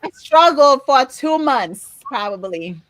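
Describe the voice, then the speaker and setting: A woman in her forties with a Haitian accent, co-hosting a podcast and telling frank, animated stories with frequent laughter. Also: sits high, slow, dry recording, low-quality recording. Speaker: podcast co-host. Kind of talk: candid conversational podcast chat.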